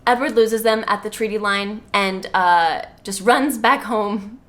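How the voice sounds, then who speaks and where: A woman's voice: mid-range voice, unhurried, heavy background noise. A young woman co-hosting a podcast, retelling a book casually with playful, slangy riffs. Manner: playful, slangy, riffs on her co-host's jokes. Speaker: podcast co-host. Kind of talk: casual comedic book recap.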